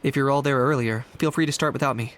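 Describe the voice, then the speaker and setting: A man voicing a calm young male warrior in a video game. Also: mid-range voice, fast, close to an everyday voice.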